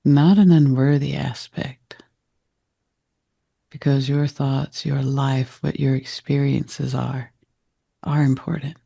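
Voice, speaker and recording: Slow, dark, gravelly male meditation guide. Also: mid-range voice, flat delivery, no echo, clean studio sound. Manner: gentle, reflective, deliberate phrasing with short fragments.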